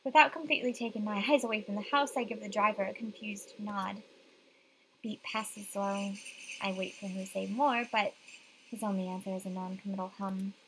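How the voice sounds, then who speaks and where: A woman's voice: medium pitch, steady tone, fairly quick, fairly bright tone, slight background noise, poor audio. A young woman reading visual novel narration aloud. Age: young adult. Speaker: game streamer reading aloud.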